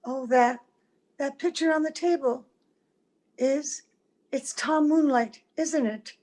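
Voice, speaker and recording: An actress playing a gentle, wistful, mysterious wife in a radio play, speaking with tender care. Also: female voice, sits high, slow. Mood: yearning. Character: gentle, wistful ageless wife, mysterious visitor, secretly old. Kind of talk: old-time radio drama acting. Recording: home video call with music.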